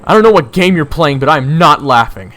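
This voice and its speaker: A man's voice: on the high side, expressive, brisk, fairly bright tone, pitched above the performer's own voice. A man playing a blustering but nervous human scout in a fantasy audio drama. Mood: false bravado. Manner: bravado that gives way to stammering.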